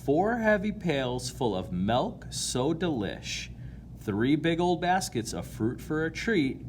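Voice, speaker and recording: A man reading a children's picture book aloud in an expressive, playful way, drawing out key words and chatting to his young listeners. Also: on the high side, slow pace, a little hiss or hum. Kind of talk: animated read-aloud to children, with asides to listeners.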